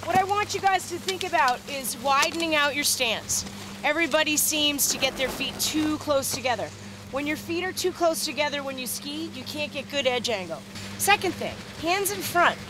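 A middle-aged woman coaching a ski class on a snowy slope, giving direct, energetic instructions. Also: high-pitched, moderate pace, background noise.

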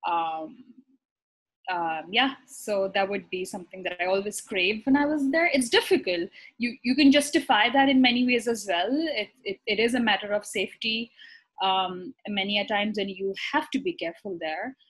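Young woman, physician guest, from Pakistan. Slightly husky voice, casual, hesitant pacing, webcam call. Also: medium pitch, moderate pace, low-quality recording. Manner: thoughtful, many ums and restarts. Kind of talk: casual conversational interview. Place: bright apartment room, indoors. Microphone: laptop webcam on a video call.

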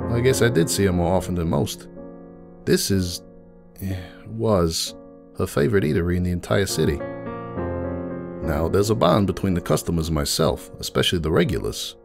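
A man's voice: low voice, creaky, heavily acted. A man voicing a world-weary middle-aged pony who runs a coffee shop, telling his story in a folksy, wistful, confiding way as a dramatic monologue. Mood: folksy, hesitant. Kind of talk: dramatic monologue reading of fan fiction. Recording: music behind the voice.

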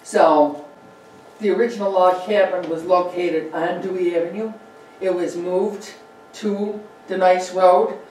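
A woman's voice: fairly low pitch, steady tone, unhurried, darkish tone, slight background noise. A woman giving a chatty local history talk over slides, with fillers and asides. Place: meeting room with projector. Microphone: far room mic. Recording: very echoey room, distant mic.

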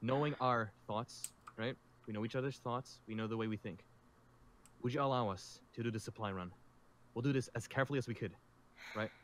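A man acting a level-headed zombie apocalypse survivor in a game roleplay. He talks quickly at a middle pitch, reasoning and placating, with faint noise behind him. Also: a bit bright, close to an everyday voice. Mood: earnest.

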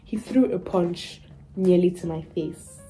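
A young woman vlogging from her student room, telling a story in a rambling, chatty way with many fillers, her voice a little raspy from having lost it. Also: fairly low pitch, a little slow, dark tone, soft background music, low-quality recording. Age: young adult. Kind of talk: casual vlog storytime. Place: small student bedroom indoors.

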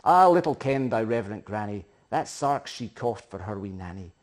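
A man's voice: lowish voice, lively intonation, moderate pace. Scottish man dramatically reciting poetry. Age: middle-aged. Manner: theatrical, emphatic storytelling with swells and hushes. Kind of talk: dramatic recitation of a Scots narrative poem. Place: living room, indoors.

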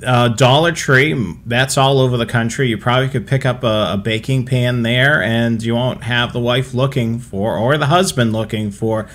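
Casting: American male co-host, middle-aged, mid-pitched, conversational and explanatory. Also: normal speed, hardly any pauses, fairly bright tone. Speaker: co-host of a police scanner show. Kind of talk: casual explanatory livestream talk. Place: small home room with equipment, indoors.

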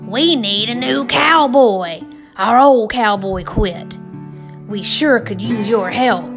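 A woman's voice: fairly high pitch, slow pace, a bit bright, soft background music. Woman putting on a folksy cowboy cattle boss. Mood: gruff, persuasive. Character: growling rough male cattle boss cowboy.

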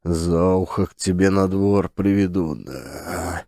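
A man's voice: low voice, takes it slowly, vocal fry, warm tone, no room sound, studio quality, heavily acted. A man voicing a proud, sulky older police officer who grumbles and mutters to himself. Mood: grumbling. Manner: grumbling, muttering under his breath.

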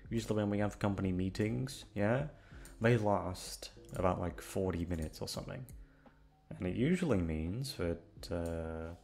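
A man's voice: sits low, unhurried, vocal fry, a little mellow. A man chatting casually and hesitantly to his listeners, rambling along in a self-deprecating, sweary way. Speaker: audio content creator.

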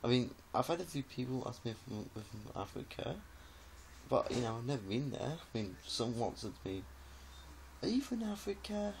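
A young man chatting casually and meanderingly to camera, halting often, swearing now and then and tagging phrases with "eh". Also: medium pitch, gravelly. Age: young adult. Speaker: vlogger. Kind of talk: casual rambling chat to camera. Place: small indoor room, reclining against a wall. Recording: noisy webcam-style home recording.

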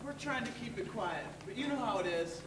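A man's voice: extremely high pitch, lively intonation, brisk. A young man playing a cocky, streetwise teenage schemer in a stage play. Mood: guarded, cool. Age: teens to young adult. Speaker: stage actor. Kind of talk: amateur stage play dialogue. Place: theatre stage. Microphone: far camera. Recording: roomy, distant camera, noisy hall.

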